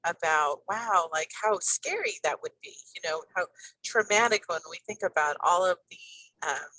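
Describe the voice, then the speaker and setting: A woman's voice: fairly low pitch, moderate pace, sharp tone, slight background noise, low-quality recording. A woman in her fifties hosting a podcast, talking to her guest in an enthusiastic, rambling way full of fillers. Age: 50s. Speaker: podcast host. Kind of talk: conversational interview.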